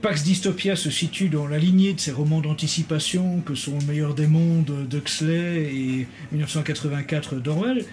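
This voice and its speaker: A male interviewer putting long, formal questions to an author in a measured manner. His voice sits a touch higher than most men's. A faint background noise can be heard under his speech. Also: steady tone, darkish tone.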